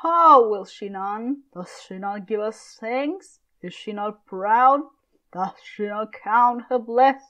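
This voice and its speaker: A furious, domineering patriarch of classical tragedy, acted by a woman, scolds with archaic, declamatory scorn. The voice is raised in pitch and the delivery is slow and weighty, on a slightly dull home recording. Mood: indignant. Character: furious tyrannical father, Shakespearean patriarch berating his daughter.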